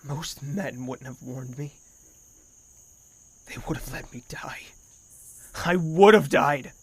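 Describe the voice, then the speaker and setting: A man voicing a brooding, lonely young royal, narrating his thoughts in first person in an audio drama, quiet and sometimes whispering. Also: medium pitch, slow pace, husky. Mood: wistful.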